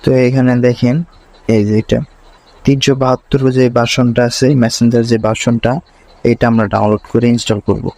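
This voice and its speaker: A man's voice: mid-range voice, level tone, a little fast, darkish tone. A man presenting a screen tutorial step by step, linking each instruction to the last in a repetitive way.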